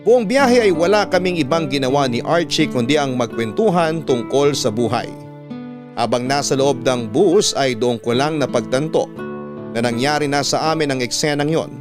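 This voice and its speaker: A man with a medium-pitched voice reads a scripted love story in the first person, as a radio storyteller would. Music plays clearly behind him. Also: expressive, moderate pace. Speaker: radio host and story narrator.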